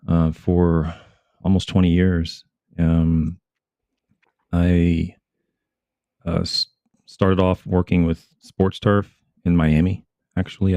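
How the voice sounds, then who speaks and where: A man from Oklahoma speaking as an expert guest, casually and haltingly telling his background in an interview. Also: deep voice, creaky, warm tone, no echo, dull audio. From Oklahoma, United States. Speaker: expert guest, retired turfgrass academic.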